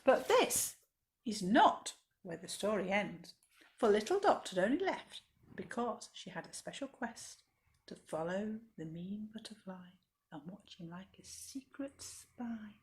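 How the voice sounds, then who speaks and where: A woman's voice: medium pitch, lively intonation, a little slow. A woman reading a rhyming children's story expressively, with dramatic emphasis, as a library storyteller.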